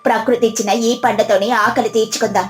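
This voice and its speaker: A woman voicing a calm, reassuring, earnest village woman in a moral-story cartoon. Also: a little high, quick pace, soft background music, close to an everyday voice.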